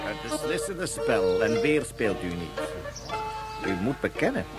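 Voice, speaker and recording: A pompous, slow-spoken ceremonial game master. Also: male voice, on the low side, very animated, loud music behind the voice. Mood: stern, impatient. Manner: formal, proclaiming, theatrical. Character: pompous ceremonial game master addressing a ruling card champion. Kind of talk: radio play acting.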